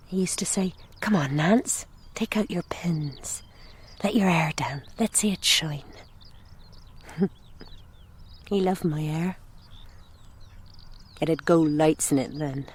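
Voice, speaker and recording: A woman's voice: sits low, slow pace, long pauses, husky, exaggerated voice. An actress playing a wistful mother in a radio drama, dreamily and proudly reminiscing about her husband. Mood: wistful, fond.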